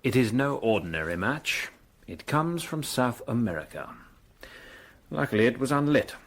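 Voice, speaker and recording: An actor playing an arrogant, dismissive police detective in a radio drama, with a curt, pompous, lecturing way of talking. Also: male voice, medium pitch, sing-song, moderate pace. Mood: smug, dismissive.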